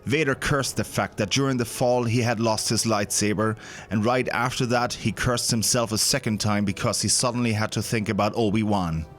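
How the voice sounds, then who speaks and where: A man's voice: fairly low pitch, fairly even intonation, normal speed, hardly any pauses, fairly bright tone. A man reading scripted, dramatic narration for a science-fiction audio drama. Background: background music.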